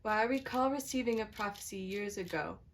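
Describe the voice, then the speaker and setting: A woman's voice: medium pitch, fairly quick, a little hiss or hum, lightly acted. A woman playing a reassuring queen, a soothing but chiding wife, in an amateur puppet show. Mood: storytelling.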